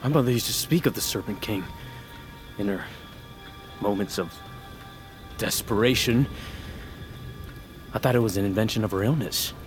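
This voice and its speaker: An actor playing a wounded, bitter young noble son in a fantasy audio drama, earnest and brooding, with halting delivery. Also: male voice, lowish voice, lightly acted. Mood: wary, brooding. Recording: music under the voice.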